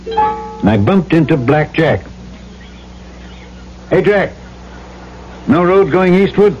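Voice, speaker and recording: A man's voice: on the high side, lively intonation, slow pace. An actor playing a tough, plain-spoken island trader who narrates his own story in old-time radio drama style, folksy and self-assured. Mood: storytelling. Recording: muffled old radio recording with music behind.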